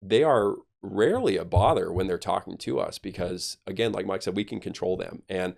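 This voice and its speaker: Low gravelly man explaining on a podcast. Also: brisk, a little mellow. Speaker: air traffic controller, podcast guest.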